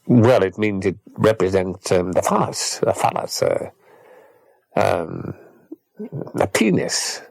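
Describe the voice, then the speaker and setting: A man's voice: low-pitched, sing-song, slow, gravelly, pauses often, a little mellow, no echo, rough recording. A man hosting a comedy phone-in who talks hesitantly and politely, with dry asides. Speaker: radio phone-in host.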